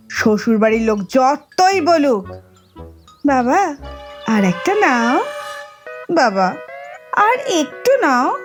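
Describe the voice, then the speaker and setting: A woman acting as a stern Bengali mother in a comic audio play. Her high voice swings widely as she scolds and warns. She is bossy and emphatic, drawing out her orders at a slower pace. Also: a bit bright, full character voice. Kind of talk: comedy audio drama acting.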